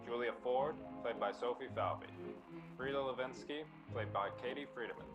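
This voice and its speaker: A man's voice: mid-range voice, moderate pace. A man giving a scripted announcement as the narrator of a radio play. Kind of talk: scripted cast and scene announcement. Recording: dull sound with music underneath. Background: instrumental music.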